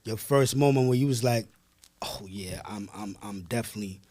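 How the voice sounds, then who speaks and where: A man co-hosting an interview in a casual, slangy way, throwing in animated interjections. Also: fairly low pitch, moderate pace, no room sound. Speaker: interviewer, co-host.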